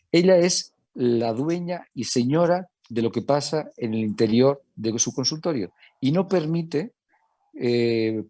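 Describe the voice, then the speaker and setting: A man's voice: medium pitch, a little mellow, no room sound. A middle-aged man with an Italian accent with some Castilian Spanish in it, speaking on a panel as a medical director, talking conversationally with many restarts. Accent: Italian, with a Spanish Castilian touch. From Italy. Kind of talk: panel discussion.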